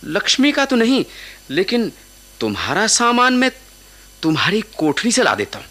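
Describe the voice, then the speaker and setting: A man's voice: high-pitched, huge pitch swings, normal speed, bright, forceful tone, full character voice. An actor playing a kindly, urgent watchman in a Hindi radio play. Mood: urgent warning. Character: kindly, urgent male watchman advising young lovers.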